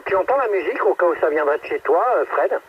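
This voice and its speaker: A man's voice: high voice, lively intonation, fast, lo-fi sound. A man, an amateur radio operator, chatting casually through a radio transmission. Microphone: radio microphone heard through a receiver. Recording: very noisy, band-limited, distant radio link.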